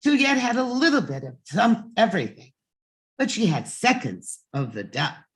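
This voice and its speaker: A woman reading a children's bedtime story aloud in an expressive, emphatic way with deliberate pauses. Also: medium pitch.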